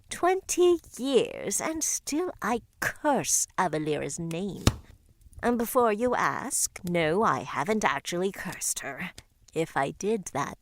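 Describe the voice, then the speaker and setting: A woman playing a dry-witted, grieving older witch in a visual novel, speaking in a formal, measured, wry way. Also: on the low side, slight background noise. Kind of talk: scripted visual novel drama.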